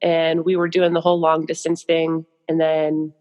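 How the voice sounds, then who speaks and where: A woman's voice: sits low, completely flat delivery, moderate pace, a little mellow, long reverb tail. A young adult American woman speaking as a podcast guest, telling stories casually in loose, informal phrases with many asides. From United States. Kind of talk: casual conversational storytelling.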